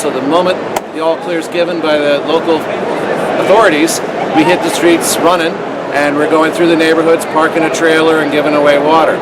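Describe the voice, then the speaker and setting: Man, matter-of-fact, interviewee, somewhat high voice, noisy background. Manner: steady, matter-of-fact explaining. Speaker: interviewee, project lead. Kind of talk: convention interview. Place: convention hall. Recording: loud convention background noise.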